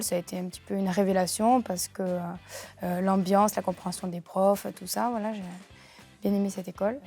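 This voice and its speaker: Young woman, pretty flat monotone delivery, mellow, stops a lot to find her words. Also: mid-range voice. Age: young adult. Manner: halting, searching for words. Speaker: interviewee. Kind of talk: spontaneous personal account.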